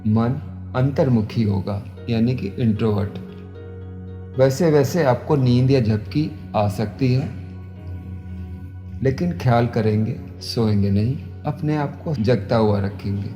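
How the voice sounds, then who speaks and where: A man, a yoga instructor leading guided meditation in measured, repetitive instructions. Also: medium pitch, warm tone. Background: new-age music.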